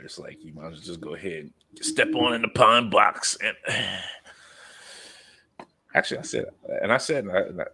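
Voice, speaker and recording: Man, thirties, podcast host, gravelly, bright tone, joking storyteller. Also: deep voice. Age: 30s. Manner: joking, storytelling with quoted asides. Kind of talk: casual chat.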